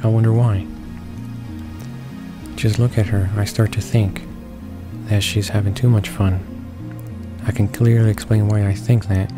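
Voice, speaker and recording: Low, flat-voiced man reading along. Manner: halting, stumbling over words. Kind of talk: reading visual novel text aloud. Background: game music.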